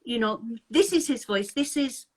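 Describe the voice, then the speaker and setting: A woman's voice: on the high side, lively intonation, moderate pace. A woman from England hosting a casual live-chat interview over a video call, chatty, laughing often and restarting her sentences. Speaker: interviewer, anti-scam group host.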